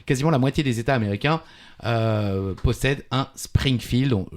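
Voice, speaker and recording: A man's voice: mid-range voice, normal speed. Joking Frenchman in casual quiz banter. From Alsace, France. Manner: joking, teasing, informal. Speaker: podcast quiz co-host.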